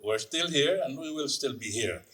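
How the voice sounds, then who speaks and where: A man's voice: medium pitch, lively intonation, a little slow, over a noisy crowd. A man with a Filipino accent, speaking as a radio drama talent in an interview. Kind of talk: interview answers.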